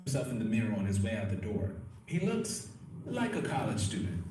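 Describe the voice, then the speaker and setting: A man's voice: on the low side, wildly swooping pitch, fairly quick, background noise. A man narrating an audio play as a wry, dramatic storyteller. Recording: echoey, distant livestream playback. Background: loud music.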